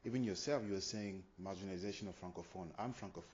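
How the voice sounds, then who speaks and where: A man's voice: low-pitched, fast, a little mellow. A man from Cameroon with a French Cameroonian accent, asking a frank, direct question from the floor at a talk. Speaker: audience member asking a question. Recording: muffled, lo-fi conference recording.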